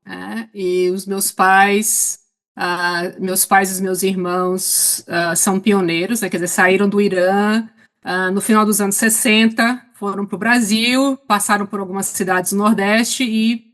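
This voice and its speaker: A middle-aged woman with a Brazilian accent chatting casually as a guest, halting as she searches for words. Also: medium pitch, steady tone, a bit bright. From Salvador, Brazil. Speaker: podcast guest. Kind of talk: casual autobiographical chat.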